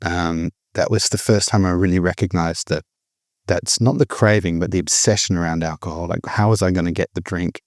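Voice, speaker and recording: A man's voice: deep voice, steady tone, a little fast, dry recording, studio quality. A middle-aged man, candidly telling his personal recovery story in a reflective way. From born in the Philippines, raised abroad. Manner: reflective, candid, with frequent restarts. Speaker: guest sharing recovery story.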